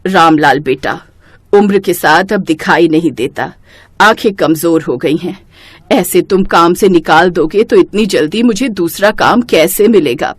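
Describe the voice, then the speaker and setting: A woman's voice: on the low side, measured pace, slightly rough, no echo, voice pushed down. A woman voicing an elderly grandmother, tired but firm and loving, in an earnest storybook manner. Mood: weary, pleading. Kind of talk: storybook character acting.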